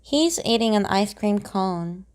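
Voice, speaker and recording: A woman reading simple grammar example sentences aloud in a slow, deliberate way, pausing between each one. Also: medium pitch, expressive, a little mellow, clear, resonant tone.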